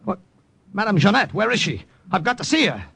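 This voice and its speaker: A man playing an excitable young American army sergeant and spy in an urgent, dramatic old radio drama style. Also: high voice, brisk, creaky, breathy edge, no room sound, heavily acted. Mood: frantic, urgent. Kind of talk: 1940s-50s radio drama acting and narration. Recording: noisy old-time radio recording.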